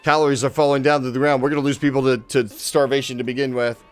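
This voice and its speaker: A man's voice: mid-range voice, fast talker, clean tone. A man live-streaming a game, chatting casually with viewers and swearing freely as he plays. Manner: excitable, swears casually, talks to chat.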